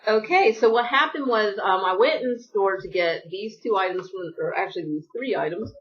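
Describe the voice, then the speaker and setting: A woman's voice: medium pitch, moderate pace, recorded from a distance. Woman beauty vlogger, casual rambling haul chat, sniffly, a bit off-mic, dull lo-fi sound. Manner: chatty, rambling, apologetic asides. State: sniffly from allergies.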